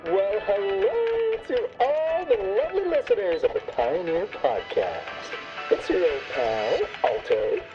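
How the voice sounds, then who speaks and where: A man's voice: high voice, big pitch swings, unhurried. A man voicing a boastful, jovial podcast guest in a video game, a showy storyteller heard through a radio filter. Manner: showy, swooping, hammy storyteller. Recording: dull radio sound over music.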